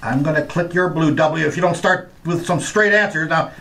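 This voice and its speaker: A man's voice: a little high, normal speed, creaky, a bit bright, poor audio. A man playing a confused, exasperated customer in a vaudeville-style radio comedy sketch, flustered and full of questions.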